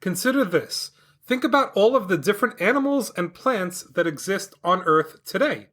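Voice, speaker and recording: Lively, bright-voiced male kids' podcast host. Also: high voice, moderate pace. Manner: enthusiastic, sing-song delivery. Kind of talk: upbeat educational explanation for kids.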